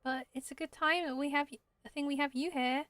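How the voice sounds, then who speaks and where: A woman's voice: high-pitched, fairly even intonation, fairly quick, fairly bright tone, no room sound. A woman voicing an earnest, caring young female forest ranger in video game dialogue, polite and encouraging. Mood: friendly, earnest. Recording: music behind the voice.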